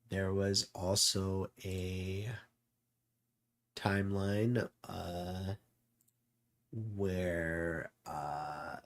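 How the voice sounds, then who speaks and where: Slow-talking man with a low voice. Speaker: streamer host. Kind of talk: casual reflective chat.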